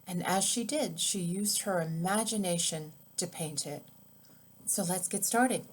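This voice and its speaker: A woman reading her own children's book aloud as storybook narration, with expressive, deliberate, drawn-out phrasing. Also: on the low side, slight background noise.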